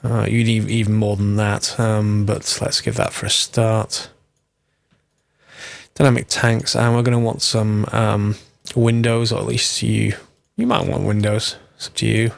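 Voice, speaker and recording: A man, his voice slightly hoarse from a cold and gravelly with fry. He talks through a game tutorial casually, rambling and correcting himself as he goes. The sound is dry and close, with no room around it. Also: on the low side, little pitch movement, a little slow, no room sound. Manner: rambling, self-correcting, thinking aloud.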